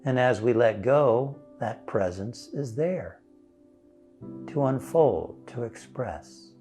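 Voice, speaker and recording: Slow-speaking man leading guided meditation. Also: medium pitch, animated. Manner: soothing, gentle, unhurried with long pauses. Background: instrumental music.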